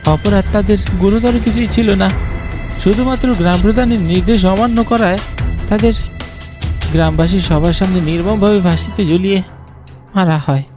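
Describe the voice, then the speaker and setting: A man's voice: sits high, darkish tone, natural voice. A man playing a mysterious, knowing village boatman in a cartoon horror story, speaking with solemn urgency.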